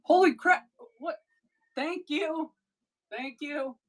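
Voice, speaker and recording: Deep-voiced woman from Missouri, unhurried and chatty, runs her own live sales stream. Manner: chatty, breaks off mid-thought to handle tasks. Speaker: online seller streaming a live sale. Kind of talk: casual livestream chat while packing orders.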